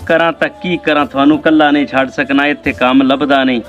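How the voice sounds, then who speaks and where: A man's voice: medium pitch, steady tone, quick pace, close to an everyday voice. A man with a rural Pakistani Punjabi accent acting a poor, proud, worried village father in a weary, rambling way. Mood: helpless, worried. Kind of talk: amateur moral drama acting. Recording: dull lo-fi video with faint music.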